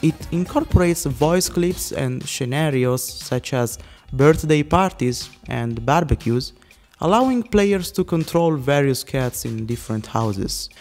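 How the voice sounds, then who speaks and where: A man's voice: medium pitch, animated, measured pace, a little mellow, soft background music. Italian-accented man narrating a video. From Italy. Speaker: YouTube narrator. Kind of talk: scripted narration.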